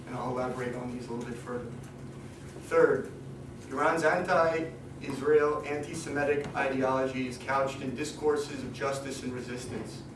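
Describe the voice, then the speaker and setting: Hoarse male lecturer, flat delivery, halting; recorded from afar in an echoey room with a noisy background. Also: mid-range voice, far from the mic. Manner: hesitant, many ums and restarts. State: losing his voice. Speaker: guest lecturer. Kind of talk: academic seminar talk.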